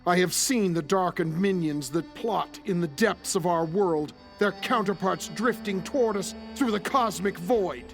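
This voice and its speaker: Guy doing a grave prophetic dad, highish voice, very dramatic audio drama monologue over music. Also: measured pace, hardly any pauses. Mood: ominous, solemn. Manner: grave, portentous, theatrical. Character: solemn father prophesying doom to his son.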